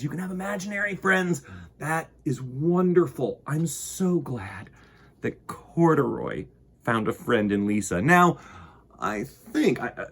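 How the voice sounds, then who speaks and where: A man's voice: mid-range voice, slightly rough. A man hosting a children's storytime, reading aloud and chatting expressively, with direct questions to young listeners. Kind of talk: picture-book read-aloud and chat to children.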